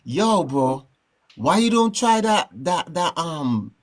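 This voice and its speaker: A man from Trinidad with a Trinidadian accent, telling stories in a relaxed, rambling way as a guest in a casual interview. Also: fairly high pitch, slow. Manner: relaxed, rambling, frequent "you know what I'm saying". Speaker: guest musician.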